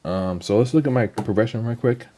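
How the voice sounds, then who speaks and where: A young man with a cold, casually walking through his game account in a halting, rambling way, full of ums and repeated words. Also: fairly low pitch, warm tone. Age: young adult. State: hoarse from a cold. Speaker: gaming channel host.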